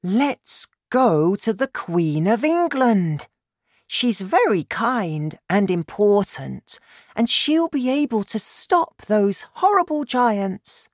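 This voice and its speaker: A woman voicing an excitable, determined little girl in a children's story, speaking in an eager, dramatic way. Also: a little high, slow pace, no echo, clean studio sound, pitched above the performer's own voice, full character voice. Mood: excited, determined.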